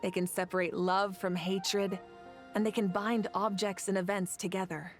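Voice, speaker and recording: A cryptic, serene wise woman from a video game, voiced by an actress. Her voice sits at a middle pitch and is solemn and measured, with faint music underneath. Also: flat delivery, natural voice.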